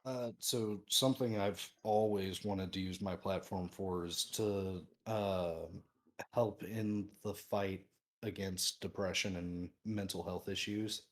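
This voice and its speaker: A man guesting on a podcast with a deep radio-like voice, easygoing and self-deprecating in casual chat. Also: steady tone, slow. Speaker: guest streamer.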